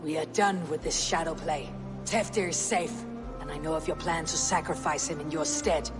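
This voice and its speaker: An actress playing a stern, resolute Viking warrior in a video game cutscene, grave, blunt and commanding. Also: female voice, low-pitched, fairly even intonation, normal speed, loud music behind the voice, lightly acted. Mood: stern, confronting.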